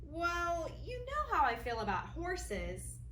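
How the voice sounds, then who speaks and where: A woman voicing a hesitant, defensive twelve-year-old storybook girl who speaks haltingly. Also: very high voice, huge pitch swings, bright, forceful tone, pitched above the performer's own voice, full character voice. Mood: reluctant, defensive. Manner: reluctant, halting. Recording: roomy echo, faint background noise.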